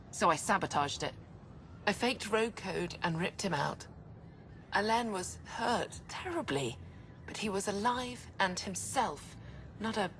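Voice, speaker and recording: Guilt-ridden woman scientist, anguished, lively pitch swings. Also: a little hiss or hum. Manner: tormented, stammering under strain. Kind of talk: video game dialogue.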